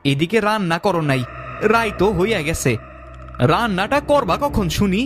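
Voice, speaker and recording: A man's voice: high voice, animated, normal speed. A man voicing a tired, complaining village farmer who is a husband and father, with faint music behind. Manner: complaining, weary.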